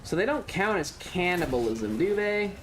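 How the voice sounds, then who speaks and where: A male game streamer with a somewhat high voice for a man. He chats casually as he plays, sarcastic and theatrical, over faint game noise. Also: very animated, normal speed, a bit bright. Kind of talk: casual live game commentary. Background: video game ambience.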